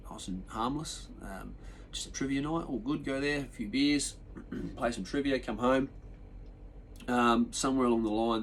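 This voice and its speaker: Man, Australian accent, lowish voice, nasal, chesty, sick, rambling casual podcast host, faint background noise. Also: low-quality recording. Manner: rambling, laid-back storytelling with frequent ums and restarts. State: nasal and chesty from a sinus infection. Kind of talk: casual solo podcast chat.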